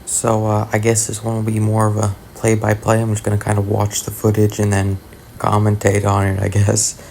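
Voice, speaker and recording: A man with a raspy, worn voice from long talking, giving low-key, hesitant voice-over commentary on gameplay in a casual way. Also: monotone, normal speed, darkish tone, slight background noise. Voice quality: raspy, gravelly. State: tired voice after long talking, throat-clearing. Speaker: gaming video host. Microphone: voice-over recorded separately from the footage.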